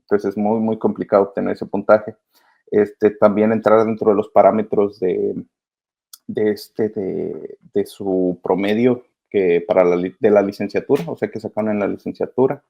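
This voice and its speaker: A gravelly man, the earnest guest on a podcast heard through a slightly dull connection, feeling for his words. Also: on the low side, fairly even intonation, normal speed. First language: Spanish. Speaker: interview guest, migrant children's advocate. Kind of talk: casual, earnest interview answers.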